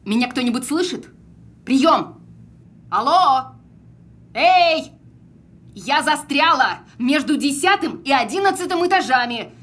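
Audio drama: demanding woman stranded in an elevator, high, very animated, impatient. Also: takes it slowly, pauses often, heavily acted. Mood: anxious, calling out. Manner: demanding, exasperated. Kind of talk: audio film acting.

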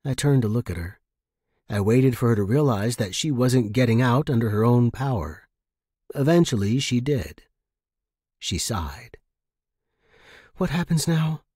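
Husky man, story narration, podcast host, lively intonation, dry recording. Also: medium pitch, normal speed, no echo. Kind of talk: expressive first-person audiobook-style story narration.

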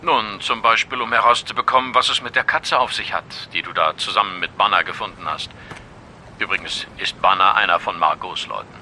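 An actor playing a mysterious, commanding informant in a German audio drama, cryptic and insistent. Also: male voice, on the low side, brisk, sharp tone, a little hiss or hum. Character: mysterious, commanding male informant giving warnings.

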